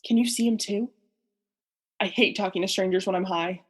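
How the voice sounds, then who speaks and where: A woman's voice: mid-range voice, moderate pace, breathy edge, lightly acted. A woman playing an anxious, self-doubting, depressed male character in a radio play. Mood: awkward, anxious. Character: depressed, self-loathing male oceanographer, also as a boy.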